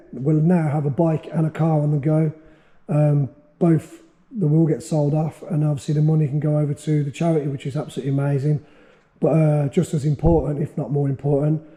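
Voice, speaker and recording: A man with a hoarse, fading voice from a sore throat, hosting a casual workshop vlog and rambling with many fillers. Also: a little high, dark tone. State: losing his voice, sore throat. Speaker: workshop owner and channel host.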